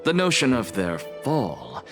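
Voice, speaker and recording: A man's voice: mid-range voice, huge pitch swings, slow, full character voice. An actor voicing an aloof, arrogant male professor in a game cutscene, precise and lecturing. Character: aloof arrogant male scholar, cold and cerebral. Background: game score music.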